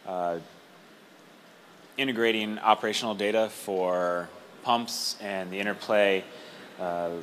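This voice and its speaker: A man with a fairly low voice reports back for his group at a workshop. He speaks unscripted, and faint noise sits behind him. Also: fairly even intonation, takes it slowly, pauses often, a bit bright. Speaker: table spokesperson at a workshop.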